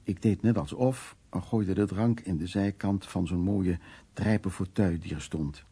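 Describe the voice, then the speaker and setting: A blunt, worldly storyteller in a radio play. His low, gravelly, husky voice carries an earthy and wry monologue about his own past. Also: steady tone, unhurried, dark tone, heavily acted. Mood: earthy, confessional. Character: worldly, blunt man recounting wartime escapades in Berlin.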